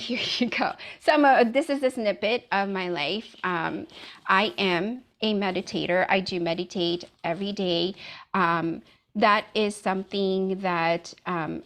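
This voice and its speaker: A woman's voice: medium pitch, a bit bright. A middle-aged woman from the Philippines giving a casual presentation with slides, with lots of "um" fillers. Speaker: wellness speaker.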